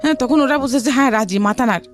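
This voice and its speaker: A woman's voice: sits high, fast talker, faint music behind. A woman with a Bangladeshi accent telling her personal story as an interview guest, often relaying what others said.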